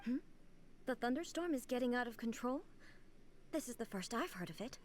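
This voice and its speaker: Casting: actress for a solemn game deity, higher-pitched female voice, dignified and deliberate, stately formal diction. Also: animated, lightly acted. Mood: puzzled. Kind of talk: video game character acting. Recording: game audio with faint background noise.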